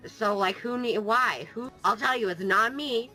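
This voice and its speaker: A very bright, sniffly voice from a vlogger fighting a cold, talking into a desk mic. The chat is playful and sarcastic and wanders from one aside to the next. Faint music plays underneath. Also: mid-range voice, measured pace, poor audio. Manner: playful, jokey, sarcastic, drifts off topic. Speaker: gaming YouTuber. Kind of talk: casual rambling vlog chat.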